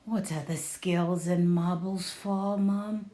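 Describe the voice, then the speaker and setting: A glum young schoolboy from a storybook, read by a woman. The delivery is slow and reluctant, with a mellow, lowish voice. He sounds downcast and grumbles about his day. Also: fairly even intonation. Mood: puzzled.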